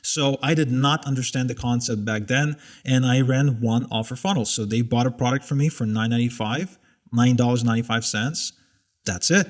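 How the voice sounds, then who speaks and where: A man's voice: medium pitch, moderate pace. A man in his thirties in a casual interview, talking candidly and poking fun at his own past mistakes. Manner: candid, self-deprecating. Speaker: interviewee sharing business mistakes. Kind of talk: casual conversation.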